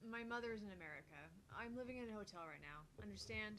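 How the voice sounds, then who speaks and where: An aloof young woman researcher from a visual novel, read by a woman, in a middling pitch. She answers in a clipped, irritated, matter-of-fact way, with faint noise on the recording. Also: lively intonation, fast talker, fairly bright tone, natural voice. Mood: curt, dismissive.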